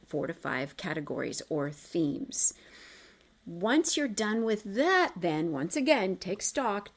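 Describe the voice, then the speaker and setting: A woman coaching listeners step by step through an exercise, encouraging and reassuring, repeating key points for emphasis. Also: on the low side. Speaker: coach guiding a self-reflection exercise. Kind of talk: instructional coaching talk, step by step.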